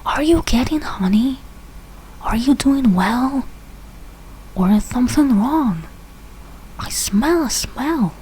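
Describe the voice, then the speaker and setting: A woman reading a rhyming children's storybook aloud in short, deliberate phrases with pauses. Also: sits high, lively intonation, warm tone, slightly rough, low-quality recording. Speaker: read-aloud reader learning English.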